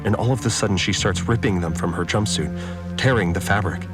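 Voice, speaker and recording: An actor playing an uneasy farmer, giving measured, subdued first-person narration in an audio drama. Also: male voice, sits low, loud music behind the voice, lightly acted. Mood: grim. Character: uneasy male farmer recounting events in a confined community.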